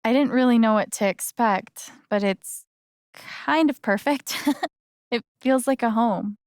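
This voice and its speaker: An actress playing a young adult woman in a video game, warm, awkward and sarcastic, talking in a casual, halting, naturalistic way. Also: a little high, no room sound, studio quality. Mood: friendly, easygoing.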